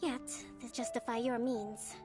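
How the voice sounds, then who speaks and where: A woman playing a calm, gracious young military leader in a game, speaking in a measured, formal and diplomatic way. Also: on the high side, close to an everyday voice. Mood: firm, measured. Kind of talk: scripted game dialogue. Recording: music under the voice.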